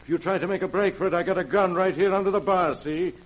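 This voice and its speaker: An actor playing a suspicious, tough bartender with a streetwise way of talking, in a 1940s radio drama. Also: male voice, high voice, quick pace. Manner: streetwise, says "see?". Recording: dull old radio recording.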